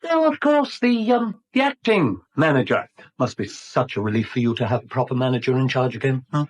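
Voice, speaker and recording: Sly english chancer in old radio play, husky, swoopy voice, teasing and fake polite. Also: male voice, mid-range voice, big pitch swings, brisk. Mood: falsely polite. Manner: mock-polite, teasing, insinuating. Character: sly, insinuating small-time property speculator and blackmailer. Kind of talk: 1970s British radio drama acting.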